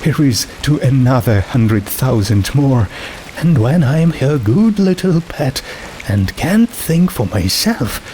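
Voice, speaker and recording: A man's voice: medium pitch, big pitch swings, takes it slowly, dark tone, a little hiss or hum, pitched above the performer's own voice. A man voicing a despairing woman drinking alone in a storybook reading, bitterly mock-cheerful.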